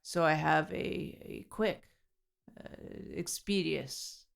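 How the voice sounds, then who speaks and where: A woman playing an overly formal, fussy new roommate in a comedy audio drama, speaking in stilted, wordy, halting sentences. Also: sits low, vocal fry, exaggerated voice. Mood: polite, hesitant.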